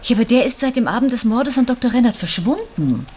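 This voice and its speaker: An actress playing a composed, courteous and probing widow in a radio crime drama, speaking naturally with slight hesitations. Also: female voice, on the high side, sing-song, fast talker, darkish tone, slightly rough. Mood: probing, concerned. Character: composed, polite, probing widow, guarded and well-mannered.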